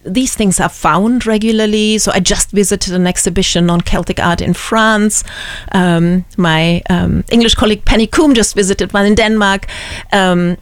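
A woman's voice: medium pitch, fairly even intonation, moderate pace, dry recording. A woman with a German accent, a museum curator who answers interview questions thoughtfully, with many ums and restarts.

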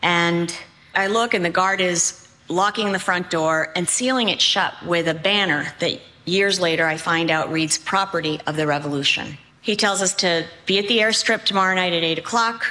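A woman in her sixties from Cuba, raised in New England, telling a personal story with deliberate phrasing. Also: fairly low pitch, bright, forceful tone. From born in Cuba, raised in New England. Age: 60s.

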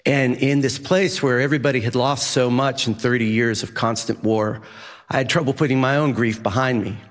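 A middle-aged man from Massachusetts, a journalist, telling a personal story in a lively, vivid way. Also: mid-range voice, normal speed, in a large hall. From Massachusetts, USA. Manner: vivid, animated when recounting action. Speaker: journalist and news editor.

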